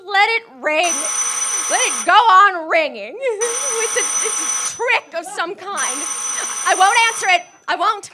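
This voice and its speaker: An actress playing a frantic, self-pitying, demanding invalid wife in a melodramatic radio play, with a stammering, imperious way of talking. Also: female voice, very high-pitched, animated, takes it slowly, noisy background, exaggerated voice. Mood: anxious, fretful. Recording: live stage recording.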